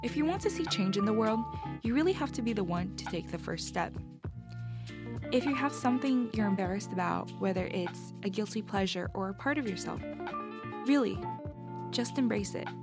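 Young woman podcaster, creaky voice over music. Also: medium pitch, animated, moderate pace. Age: young adult. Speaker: podcast host. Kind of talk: personal podcast narration and chat.

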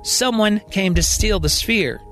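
A man voicing a calm, careful woman who gently explains things in a science-fiction audio serial. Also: sits high, unhurried, faint music behind, pitched above the performer's own voice. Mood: careful, grave. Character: calm, careful woman team member breaking hard news.

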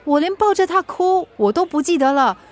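A forgetful, easily flustered mother in a radio drama. Her voice sits very high and swings widely in pitch, with a Singaporean accent and colloquial, defensive protests. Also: lively intonation, a little fast. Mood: uneasy. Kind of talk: naturalistic radio drama dialogue.

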